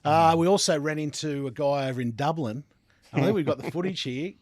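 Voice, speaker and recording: A man's voice: fairly high pitch, brisk, background noise. A man with an Australian accent hosting a casual sports panel chat. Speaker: podcast host.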